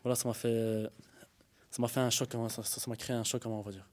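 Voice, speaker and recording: A man's voice: mid-range voice, little pitch movement, fast, darkish tone. A young man giving a hesitant personal account in a panel discussion, often stumbling and restarting his sentences. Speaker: panel guest.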